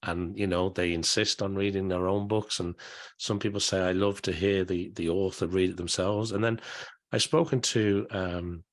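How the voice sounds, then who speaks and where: A man hosts a casual interview in a low voice. He sounds friendly and explains things, often restarting his phrases. The recording is dry and close, with no room sound. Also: fairly even intonation, normal speed, dry recording. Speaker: podcast host.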